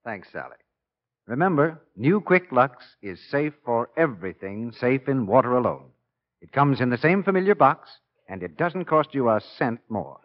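A man working as a radio announcer, giving a polished 1940s advertising pitch. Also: big pitch swings, moderate pace. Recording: dull 1940s radio broadcast.

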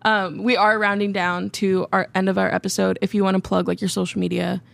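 A woman's voice: mid-range voice, flat delivery, creaky, dry recording. A woman in her twenties hosting a casual podcast at a desk mic, chatty and full of fillers. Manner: chatty, quick laughs, many "like" and "um". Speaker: podcast host. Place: small studio with textured walls. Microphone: desk mic on stand, headphones.

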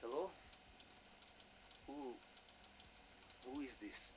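An actor playing a weary, bitter Russian man stranded in another dimension, breathy and halting, in a dramatic video game. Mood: wary. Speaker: trapped survivor on an intercepted call. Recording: clear background noise and rumbling ambience.

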